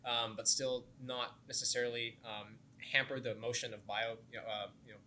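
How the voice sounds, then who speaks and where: A man's voice: medium pitch, flat delivery, moderate pace, sharp tone, a little hiss or hum. A young man in his twenties from Florida, a graduate student co-founder chatting casually and explaining at length with fillers. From Florida, United States. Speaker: grad student startup co-founder. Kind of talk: casual interview talk.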